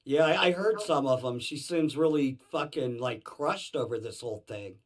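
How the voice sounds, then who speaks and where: A man with a very animated, swooping delivery at a middling pitch. He talks casually and supportively, and is blunt, with some swearing. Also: normal speed. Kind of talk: casual, sympathetic chat.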